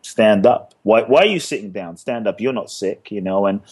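A man's voice: lowish voice, animated, normal speed, darkish tone, husky. A man raised in London telling his life story as a podcast guest, earnest and repeating phrases for emphasis. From born in Ghana, grew up in London. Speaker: guest, speaker and teacher. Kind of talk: personal story in a podcast interview.

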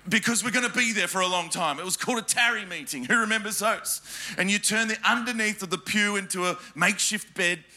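An Australian man preaching to a church congregation. His voice sits high and rings very bright. He throws questions at the crowd and mixes jokes into his stories. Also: fairly quick. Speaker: pastor. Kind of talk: sermon with personal stories. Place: church hall before a congregation.

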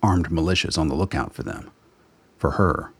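Very deep, husky male narrator. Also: big pitch swings, moderate pace, no room sound. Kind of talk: scripted story narration.

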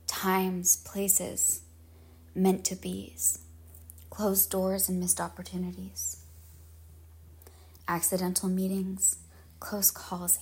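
A woman leading a guided meditation in measured, solemn phrases with pauses, her husky voice trailing into breathiness. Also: medium pitch.

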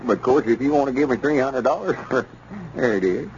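Actor doing a drawling rural Arkansas storekeeper, lively intonation; dull, hissy old radio sound. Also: male voice, medium pitch, natural voice. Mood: cautious. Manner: drawling, slow, hesitant. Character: slow-talking country storekeeper, cautious. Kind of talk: 1930s radio comedy acting. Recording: muffled 1930s radio transcription with hiss.

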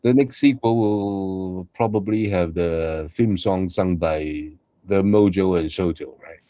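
A man chatting casually as a co-host in halting, short phrases, on a muffled recording. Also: sits low, warm tone, no echo. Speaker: podcast co-host and voice actor. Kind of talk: casual chat. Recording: dull, muffled lo-fi sound.

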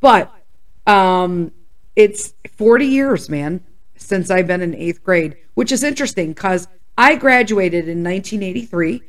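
Hoarse, chatty woman in her fifties. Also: mid-range voice, unhurried. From Chicago, USA. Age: 50s. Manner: chatty and candid, with bursts of excited whoops. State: slightly hoarse and congested. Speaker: podcast host. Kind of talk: casual solo podcast chat.

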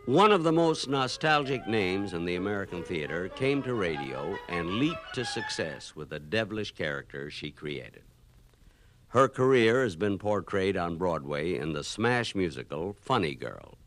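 Deep, animated male announcer. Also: unhurried, no echo, faint music behind. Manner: formal, polished announcer. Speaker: host. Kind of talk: scripted narration for a record compilation.

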